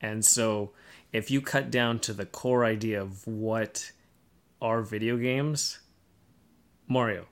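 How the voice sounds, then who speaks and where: A man's voice: fairly low pitch, takes it slowly, long pauses. A man co-hosting a casual podcast, explaining his points step by step. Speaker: podcast host.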